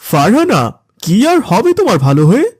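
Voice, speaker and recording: A woman's voice: fairly high pitch, wildly swooping pitch, normal speed, a little mellow, husky, no echo, exaggerated voice. A woman playing a sly, persuasive friend in a cartoon, coaxing and insinuating. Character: sly, persuasive friend tempting someone back to sin.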